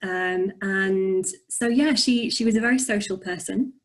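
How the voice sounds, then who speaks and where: Soft-spoken woman, slight Yorkshire accent. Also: mid-range voice, measured pace. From north of England. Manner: soft-spoken, reflective, frequent ums. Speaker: poet and author. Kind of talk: conversational interview answers. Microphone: video call.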